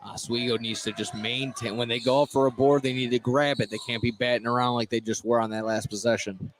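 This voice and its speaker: A man's voice: medium pitch, little pitch movement, fairly quick. A man giving conversational, opinionated color commentary on a live basketball radio broadcast. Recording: live gym broadcast with background noise.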